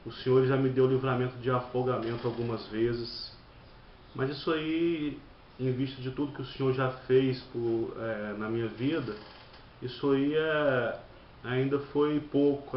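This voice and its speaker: A man's voice: medium pitch, fairly even intonation, measured pace, a little hiss or hum. A man in his thirties from Brazil, telling his personal testimony as a church member, often repeating words and restarting his phrases. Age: 30s. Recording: dull lo-fi audio in an echoey room.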